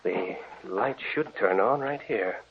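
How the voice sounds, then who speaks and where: A man's voice: fairly low pitch, slow pace, vocal fry, very noisy. An actor playing an earnest, grieving older father in a 1940s-style radio drama. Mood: uneasy. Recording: tinny, narrow old radio recording.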